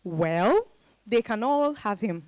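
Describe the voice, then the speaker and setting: A woman's voice: medium pitch, expressive, takes it slowly, darkish tone, no room sound. An actress with a Ghanaian accent playing a proud, independent professional woman in a dramatized reading, emphatic and exclaiming. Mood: frustrated.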